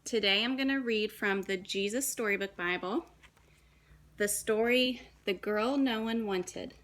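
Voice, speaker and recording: A woman, a young adult, reading a children's story aloud in a dramatic, deliberate way with shifts between emphasis and hush. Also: medium pitch. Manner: dramatic, deliberate phrasing with hushed and emphatic moments.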